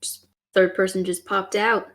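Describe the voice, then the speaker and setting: A woman's voice: mid-range voice, little pitch movement, a little slow, a bit bright. A young woman from Canada, the youngest on a casual podcast, bubbly and joking. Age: young adult. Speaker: podcast newcomer. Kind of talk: casual chat.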